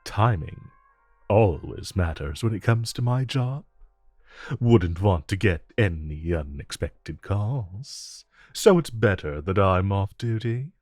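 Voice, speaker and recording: A man playing a suave, flirtatious supervillain in a romantic audio roleplay, with a smooth, teasing drawl and deliberate pauses. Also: low voice, animated, darkish tone, no room sound, soft background music, full character voice. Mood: flirtatious, relaxed. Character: suave, flirtatious male supervillain courting his tailor.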